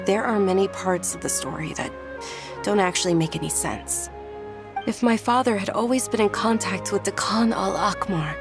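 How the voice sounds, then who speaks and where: An actress playing a gentle young noblewoman who speaks softly and earnestly, with faint music behind. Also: medium pitch, lightly acted. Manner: soft, polite, earnest.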